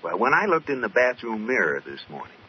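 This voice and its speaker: An American actor playing an affable, teasing husband in an old-time radio sitcom. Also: male voice, medium pitch, very animated, a little fast, lightly acted. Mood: dry teasing. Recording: noisy, dull old radio recording.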